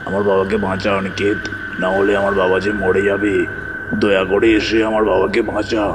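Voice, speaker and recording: Low, desperate, stammering man pleading acted by a man. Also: monotone, fairly quick, background noise, lightly acted. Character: desperate childhood friend pleading to save his father. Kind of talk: audio horror story acting. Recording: loud music behind the voice. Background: music.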